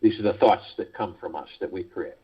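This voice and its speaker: A man hosting a guided meditation call, speaking in a measured, deliberate way with pauses between phrases, over a phone conference line. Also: deep voice, slightly rough. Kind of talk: guided meditation talk, reading prepared spiritual text. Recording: muffled, lo-fi conference call audio.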